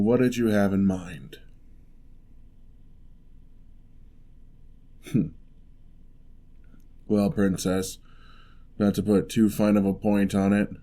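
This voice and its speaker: A man playing a lonely, gentle male giant in a fantasy ASMR roleplay, speaking in a measured, wry, slightly formal way. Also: fairly low pitch, lightly acted. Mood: wry, considering.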